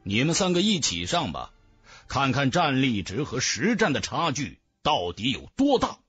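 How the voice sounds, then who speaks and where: Defiant young cultivator acted by a male narrator, audiobook fantasy, lowered raspy voice, measured mockery. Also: huge pitch swings, a bit bright, faint music behind, exaggerated voice. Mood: provocative. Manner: cool, taunting, measured. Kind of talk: dramatic fantasy audiobook dialogue.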